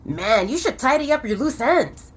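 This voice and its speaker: A woman's voice: high-pitched, big pitch swings, normal speed, slightly rough, faint music behind, pitched above the performer's own voice, exaggerated voice. A woman voicing a cheeky, impatient young male werewolf in an audio drama. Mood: teasing.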